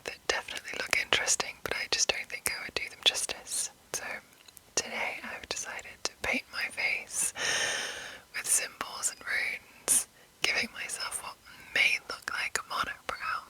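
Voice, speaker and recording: A woman host whispering in an unhurried, informal, rambling ASMR style as she gives an introduction. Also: sharp tone, rough recording.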